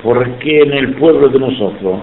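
A man's voice: mid-range voice, normal speed, echoey room, phone-quality sound, slight background noise. A man in his seventies from Sicily, speaking Spanish with an Italian accent in an oral history interview, emphatic and indignant. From Sicily, Italy. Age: 70s.

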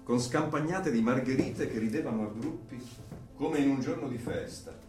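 A man acting a measured, enigmatic, knowing figure of authority in a radio drama, poetic and deliberate. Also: mid-range voice, animated, darkish tone, far from the mic, lightly acted. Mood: calm, knowing. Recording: echoey, off-mic, faint music behind.

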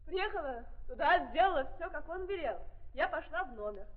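A woman's voice: high-pitched, lively intonation, normal speed. An actress playing a weary, frightened young woman on trial, speaking plainly and insistently in 1930s stage radio style on an old recording. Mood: subdued, recounting. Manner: plain simple speech, repetitive insistent denials. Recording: tinny, narrow-band 1930s archive recording.

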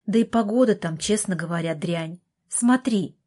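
A woman's voice: medium pitch, normal speed, dark tone, close to an everyday voice. A woman voicing a tired, apologetic older sister, coaxing and pleading with her brother in an audiobook. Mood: exasperated, insistent.

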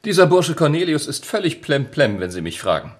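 Husky, fussy English aide. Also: male voice, on the high side, big pitch swings, fairly quick. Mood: exasperated. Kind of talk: German radio drama acting.

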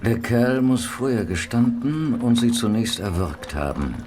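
An actor playing a dry, unflappable Victorian police doctor in a radio play, matter-of-fact and clinical. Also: male voice, mid-range voice, animated, unhurried, darkish tone, slight background noise, lightly acted. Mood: clinical, absorbed. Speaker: actor in an audio drama. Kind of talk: German radio play acting.

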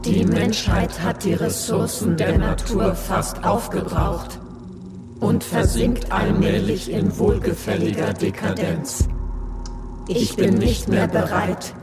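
Someone acting a defiant machine mind in an audio play, slow and solemn, music really loud behind it. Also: mid-range voice, close to an everyday voice. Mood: solemn defiance. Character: defiant, self-aware machine intelligence, proclaiming solemnly. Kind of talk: scripted radio play monologue.

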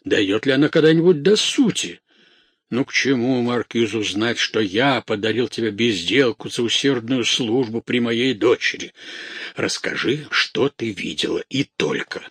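A man's voice: medium pitch, unhurried, a bit bright, slightly rough, no room sound. A man voicing an irate, imperious, impatient nobleman in a story, commanding, brusque and contemptuous.

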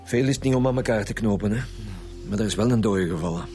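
An actor playing a dry, wry Flemish chief detective in a radio drama, speaking in a measured manner. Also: male voice, fairly low pitch, dark tone, faint music behind, lightly acted. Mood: pensive.

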